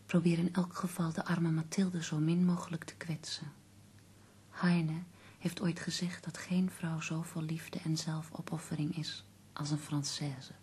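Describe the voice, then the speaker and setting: A woman acting in a Dutch radio play as an ailing poet's devoted, earnest companion, soft, breathy and restrained. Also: low-pitched, little pitch movement, unhurried, exaggerated voice. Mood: earnest, measured.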